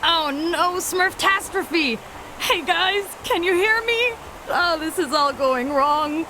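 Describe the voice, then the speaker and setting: A woman's voice: very high voice, lively intonation, slow pace, bright, forceful tone, slight background noise, heavily acted, low-quality recording. An actress voicing a brave, earnest young female Smurf in a video game, speaking in a conversational way. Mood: alarmed. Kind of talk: video game cutscene acting.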